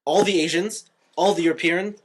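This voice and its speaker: A man co-hosting a casual anime review podcast, animated and opinionated, griping in exasperation and swearing freely. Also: sits high, fairly quick, slightly rough. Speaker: podcast host.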